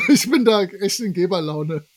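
A man with a high-pitched voice chats casually as a podcast guest. His delivery is playful and jokey, in loose everyday conversation. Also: lively intonation, a little slow.

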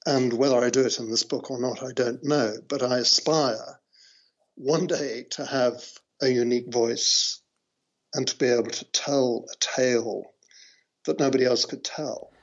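A man, a writer, reflecting in an interview in a measured, deliberate way. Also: medium pitch, fairly even intonation. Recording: slightly dull, lo-fi interview audio.

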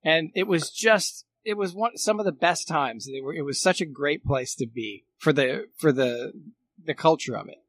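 A middle-aged man with a slightly high voice reminisces as a guest in an informal chat. He sounds nostalgic and keen as he talks. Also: moderate pace, fairly bright tone. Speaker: guest, former radio technician.

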